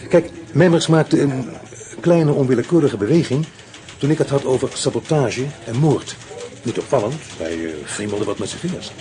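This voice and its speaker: An actor playing a shrewd, calm male police inspector who questions in a probing, measured, dry way, in a radio play. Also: medium pitch, slight background noise, close to an everyday voice.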